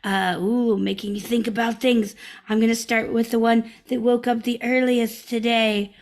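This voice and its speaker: A woman hosts a live improv comedy show over a video call. Her voice sits a little high, and her talk is upbeat and chatty, full of fillers and self-corrections. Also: flat delivery, normal speed.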